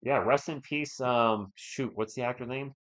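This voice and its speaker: A man's voice: medium pitch, measured pace, fairly bright tone. A man with a sore throat, losing his voice, doing casual live play-by-play of a basketball game while chatting with viewers. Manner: chatty, reads and answers viewer chat between plays. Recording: dull lo-fi stream audio.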